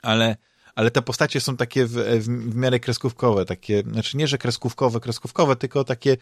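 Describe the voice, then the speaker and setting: A man's voice: medium pitch, fairly even intonation, brisk, no room sound, studio quality. A man with a Polish accent hosting a podcast in casual chat, rambling and hesitant, often repeating words as he searches for phrasing. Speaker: podcast host. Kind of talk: casual chat about games and comics.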